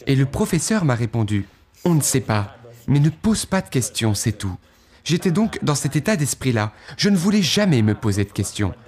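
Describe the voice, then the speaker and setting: Man telling his story with lively pitch. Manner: earnest, recounting past thoughts with dramatic pauses. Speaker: guest giving a testimony. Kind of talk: first-person testimony storytelling.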